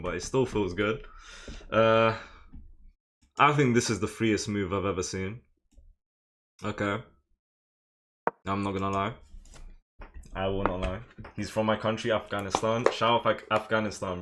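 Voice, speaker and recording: Lower-voiced excitable chess streamer man. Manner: excitable, slangy, chatty with viewers. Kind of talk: casual live game commentary.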